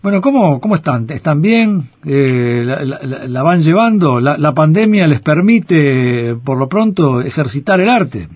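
Chatty radio host, husky. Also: male voice, fairly high pitch, animated, measured pace, few pauses, a little mellow, no room sound. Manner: chatty, friendly questions with many fillers. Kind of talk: casual radio interview.

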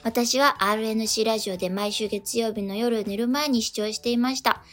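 Quick-talking, high-voiced woman radio host. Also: steady tone, fairly bright tone. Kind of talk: radio chat and reading listener letters.

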